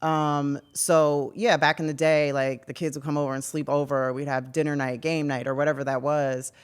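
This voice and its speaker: A woman's voice: low-pitched, normal speed, clear, resonant tone. A woman guest on a podcast, talking casually and acting out what other people say. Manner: animated, acts out other people's words. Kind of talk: casual chat.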